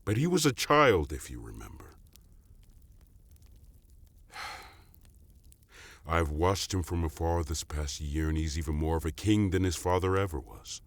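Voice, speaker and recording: A man playing an amused, seasoned statesman in a fantasy audio drama, with a calm and conciliatory manner. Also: very deep voice, a little slow, pauses often, full character voice. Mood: placating, fond. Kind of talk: dramatic audio roleplay acting.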